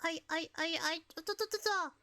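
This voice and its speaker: A woman's voice: extremely high pitch, a little fast, pushed and bright. A woman from Finland with a Finnish accent, chatting casually and giggling as a live streamer, often stumbling over her words.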